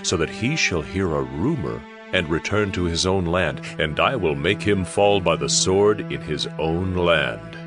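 A man's voice: low-pitched, lively intonation, a little slow, few pauses, over music. A man playing a stern prophet who solemnly pronounces divine judgment in a dramatized scripture recording. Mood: solemn, assured. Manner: solemn, declamatory.

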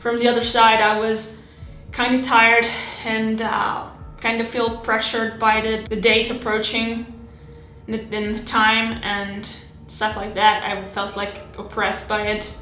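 Woman, 30s, halting casual hobby chat, slightly high voice, roomy home recording. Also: monotone, sharp tone, faint music behind. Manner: chatty, halting, frequent restarts and fillers. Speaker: miniature terrain hobbyist. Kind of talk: casual hobby vlog narration.

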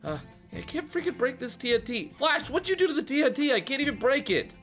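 A man playing an excitable, hesitant superhero leader who gives orders in an improvised video game roleplay. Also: extremely high pitch, fast talker, exaggerated voice. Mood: bossy, flustered. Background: music.

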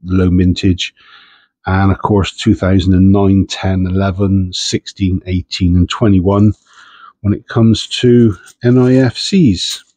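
A man's voice: deep voice, fairly even intonation, takes it slowly, warm tone, breathy edge, no room sound. A man with a head cold, sniffing as he chats casually while sorting through coins for his channel. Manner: chatty, understated, self-deprecating. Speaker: coin-hunting channel host.

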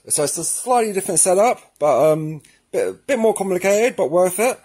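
A man's voice: high-pitched, lively intonation, normal speed, a little mellow, slightly rough. Man giving a casual tutorial. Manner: casual, addresses viewers as guys. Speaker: presenter of installation tutorials. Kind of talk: informal instructional demonstration.

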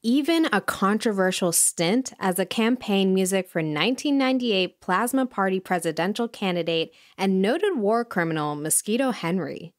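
A woman's voice: medium pitch, expressive, normal speed, hardly any pauses, lightly acted. A woman playing an earnest music show host, narrating a scripted audio documentary. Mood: measured narration. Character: female music podcast host, earnest storyteller.

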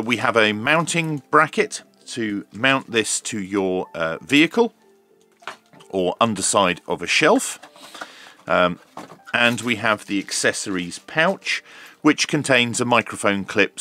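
A man's voice: fairly low pitch, pushed and bright, a little hiss or hum. A man casually narrating a hands-on product unboxing and review in an informal, running commentary. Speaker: host of a radio review channel.

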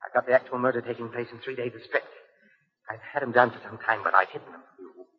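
An actor playing a menacing cartoonist husband who gloats theatrically, in 1940s radio drama style. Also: male voice, medium pitch, fairly even intonation, fast talker, husky. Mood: coolly taunting. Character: menacing, gloating cartoonist husband plotting his wife's murder. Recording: muffled, reverberant old radio recording.

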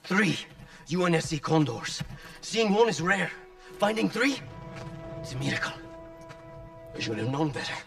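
A bitter, frantic pilot. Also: male voice, a little high, animated, normal speed, gravelly, long pauses, distant mic. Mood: angry despairing. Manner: sarcastic, desperate, breaking into shouts. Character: frightened, bitter male pilot, worn down. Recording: game audio with music, off-mic.

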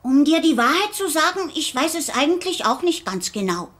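A woman's voice: high voice, sing-song, normal speed, fairly bright tone. A woman voicing a nagging, earnest female cat mentor in an audio drama. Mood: stern, urging. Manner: admonishing, earnest. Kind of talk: scripted German audio drama acting.

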